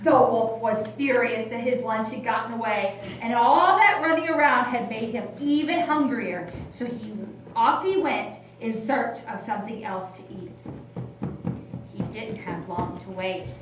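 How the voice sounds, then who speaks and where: A woman narrating a children's puppet show in an expressive storytelling style with dramatic emphasis. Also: a little high, unhurried. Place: library room, recorded from a distance. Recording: very echoey room, distant, loud noise.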